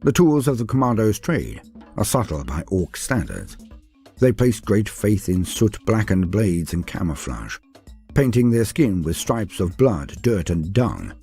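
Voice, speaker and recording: A man's voice: fairly low pitch, big pitch swings, a little slow, mellow, slightly rough, faint music behind. A man reading scripted fantasy war lore in a grandiose, theatrical way, addressing the listener formally. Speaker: narrator of a Warhammer lore guide. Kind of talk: scripted dramatic lore narration.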